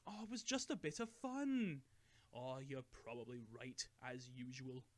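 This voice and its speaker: A man's voice: medium pitch, measured pace, close to an everyday voice. A man voicing an elderly male hobbit who is chatty, fussy and possessive of a ring. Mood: cheerful. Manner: chatty, turning clingy and snappish.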